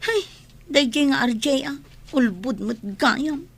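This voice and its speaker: A woman's voice: sits high, expressive, slow pace, a bit bright, exaggerated voice. An actress playing a young village daughter exasperated with her father in an Ilocano radio comedy drama, with an Ilocano accent. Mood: sulky.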